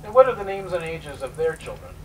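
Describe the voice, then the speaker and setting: A man's voice: a little high, sing-song, a little fast. A man playing a probing immigration inspector who puts formal, clipped questions in a staged reading. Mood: official, probing. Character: probing, official immigration inspector interrogating an applicant. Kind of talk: dramatic reading of interrogation transcript. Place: live staged reading at public event. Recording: distant mic, clear background noise.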